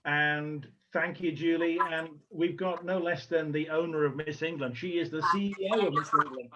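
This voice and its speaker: A man hosting an online event informally and haltingly, speaking over a video call. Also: fairly high pitch, bright, forceful tone. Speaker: host and organiser of a pageant. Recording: muffled lo-fi video call audio.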